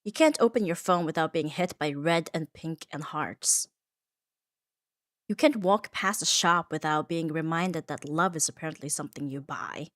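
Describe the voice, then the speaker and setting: A woman's voice: low voice, moderate pace, no room sound. Woman, earnest ASMR monologue, very dry sound. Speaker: ASMR audio performer. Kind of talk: scripted comfort ASMR monologue.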